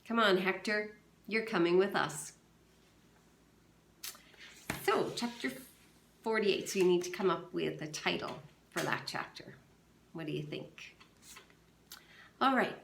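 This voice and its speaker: A woman's voice: fairly low pitch, a little hiss or hum. Teacher woman reading aloud, echoey room. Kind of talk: reading a novel aloud to a class.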